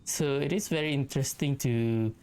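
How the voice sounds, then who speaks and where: A woman's voice: rumbling low, normal speed, a little mellow, a little hiss or hum. A woman gaming streamer giving casual, musing commentary with some hesitation as she plays.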